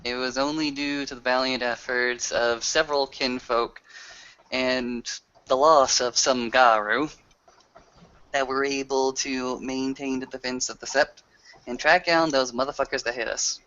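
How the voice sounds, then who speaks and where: A man with a middling pitch narrates in character for a roleplaying game. His phrasing is wordy and slightly formal, with swearing mixed in. The recording sounds dull and lo-fi. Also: fairly even intonation, unhurried, sharp tone, clean tone.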